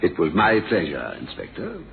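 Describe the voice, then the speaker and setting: A man's voice: low-pitched, huge pitch swings, normal speed, vocal fry, narrow, tinny audio, exaggerated voice. A man acting a prim, deferential managing director in a radio drama, formal and a little hesitant. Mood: prim disapproval. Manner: formal, hesitant, discreetly disapproving. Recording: thin band-limited old radio, noisy.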